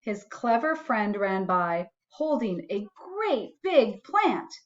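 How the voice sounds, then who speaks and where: A woman reading a children's storybook aloud expressively, adding musing asides to young listeners in a deliberate way. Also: mid-range voice, big pitch swings, muffled recording.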